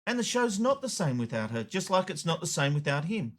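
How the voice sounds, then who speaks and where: Male commentator, slightly high-pitched voice, emphatic and opinionated, presenting an editorial. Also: big pitch swings, fairly quick. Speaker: show host, media commentator.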